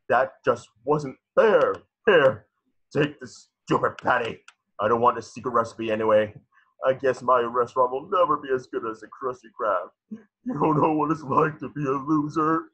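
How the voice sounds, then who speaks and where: A man's voice: mid-range voice, moderate pace, a bit bright, husky. A man voicing a bitter, tiny cartoon villain, declaiming theatrically in a table reading. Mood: bitter, wounded.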